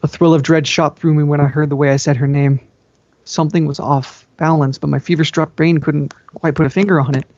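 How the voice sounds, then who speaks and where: A man's voice: on the high side, flat delivery, a little fast, a little mellow, no room sound. A man giving an amateur, melodramatic reading of story narration, occasionally tripping over words. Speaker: fan fiction reader. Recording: dull, dry lo-fi home recording.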